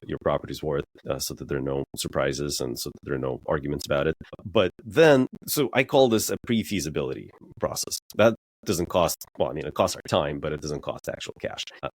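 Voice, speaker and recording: A man's voice: extremely low pitch, brisk. A man being interviewed as a guest, explaining things plainly and casually with frequent "uh" fillers. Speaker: guest, real estate developer.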